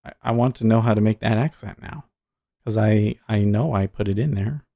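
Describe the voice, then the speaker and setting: A man with a low, gravelly voice hosts a casual day trading livestream. He thinks aloud with fillers and often mutters to himself. The sound is dry and close. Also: moderate pace, dark tone, no room sound.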